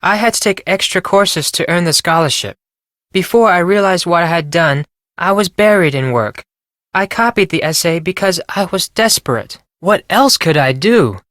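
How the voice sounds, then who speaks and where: A man's voice: high voice, expressive, normal speed, fairly bright tone, dry recording, clean studio sound. A man playing an anxious, earnest teenage Japanese exchange student with a Japanese accent and formal, careful phrasing, in video game lines. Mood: desperate, remorseful.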